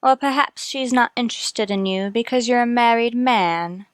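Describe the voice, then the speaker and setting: An actress playing a cold, sardonic, embittered wife out for money in an old radio mystery drama. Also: female voice, on the high side, normal speed, smooth, no room sound, close to an everyday voice. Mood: calculating.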